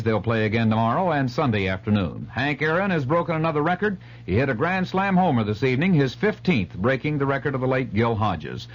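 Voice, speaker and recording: A man reading sports and weather in a 1970s radio bulletin. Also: mid-range voice. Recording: dull old radio recording.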